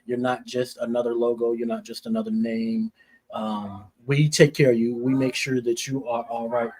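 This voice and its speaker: A man's voice: medium pitch, a little mellow, slightly rough, rough recording. A man from Indiana, an interview guest and entrepreneur, talking in an earnest, motivational way. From Gary, Indiana, United States. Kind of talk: interview answers with motivational pitch.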